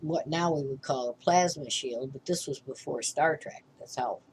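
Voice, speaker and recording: A woman's voice: very deep voice, moderate pace, a little hiss or hum, rough recording. An older woman, a reverend, giving an informal spiritual class talk in a rambling way, often restarting and checking in with "okay?".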